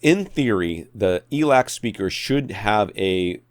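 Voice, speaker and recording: A man's voice: fairly low pitch, animated, slow pace, no echo. A man co-hosting a podcast, giving opinionated tech advice in a blunt, exasperated, emphatic way that repeats points for effect. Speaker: podcast co-host.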